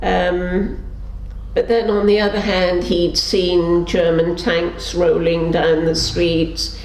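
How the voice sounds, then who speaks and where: An older woman recounts her memories at an unhurried pace, her voice sitting a little low. She brings her story's characters to life as she quotes them. The sound is roomy, with a faint hiss behind it. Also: little pitch movement, darkish tone. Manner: storytelling, acts out quotes with pitch shifts. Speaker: interviewee. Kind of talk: oral history interview.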